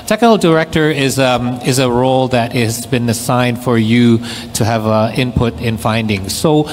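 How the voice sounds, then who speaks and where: A man's voice: medium pitch, moderate pace, big-room sound, a little hiss or hum. A man interviewing a guest and interpreting his answers, talking with many fillers and hesitations. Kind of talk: bilingual interview, translating answers.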